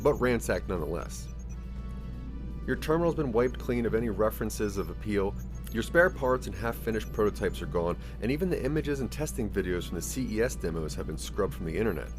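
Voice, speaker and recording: Low-voiced male narrator, very expressive intonation. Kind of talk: scripted second-person story narration for audio drama. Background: music.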